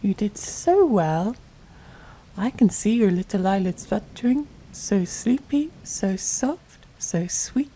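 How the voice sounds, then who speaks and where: A woman playing a tender, doting mother in a soft-spoken ASMR bedtime roleplay, gentle, soothing and affectionate, often dropping to a whisper. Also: medium pitch, lively intonation, takes it slowly, slight background noise, low-quality recording. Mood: soothing, hushed. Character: tender, doting mother soothing her little girl to sleep.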